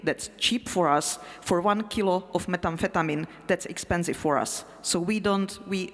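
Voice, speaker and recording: A woman, an English speaker, talking casually and haltingly as a panel speaker in a matter-of-fact way. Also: fairly low pitch. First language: not English. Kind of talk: role-played presentation as a crime boss to a live audience. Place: festival hall. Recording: echoey hall sound.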